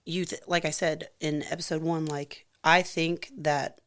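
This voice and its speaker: A woman in her thirties, a softball coach, chatting casually and self-deprecatingly in a podcast interview. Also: low voice, flat delivery, unhurried. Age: 30s.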